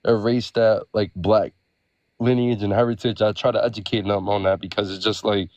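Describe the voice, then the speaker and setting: A man's voice: on the low side, level tone, normal speed, darkish tone, no room sound. A man, from the Bronx, who talks hesitantly and agreeably and leans on fillers as he gathers his thoughts. His voice comes across dull and lo-fi, with a dry sound. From the Bronx, New York. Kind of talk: casual podcast discussion.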